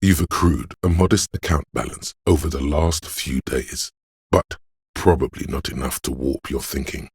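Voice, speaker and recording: An actor voicing a suave, theatrical inner voice in a video game, narrating in a measured, wry way with a gravelly, husky tone. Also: male voice, a bass voice, no echo, clean studio sound, exaggerated voice. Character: suave, theatrical inner voice of a detective's flair and agility. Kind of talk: scripted second-person game narration.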